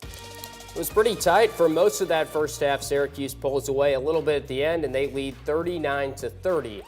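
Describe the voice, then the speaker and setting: Male sports host and play-by-play announcer, slightly high voice, broadcast commentary over faint music. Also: normal speed, a little mellow.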